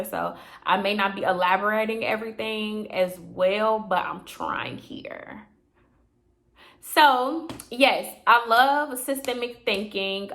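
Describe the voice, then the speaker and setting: Young woman, from Chicago, slightly raspy, casual vlog talk, chatty, filler-heavy. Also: medium pitch, bright, forceful tone. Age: 20s. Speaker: vlogger, therapy grad student. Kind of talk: casual late-night vlog chat.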